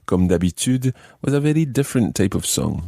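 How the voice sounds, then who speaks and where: A man's voice: medium pitch, very animated, moderate pace, creaky, warm tone, no echo, clean studio sound. A man hosting a language lesson, explaining phrases in a scripted teaching style and putting questions to the listener. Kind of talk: clear scripted teaching narration, switching between languages.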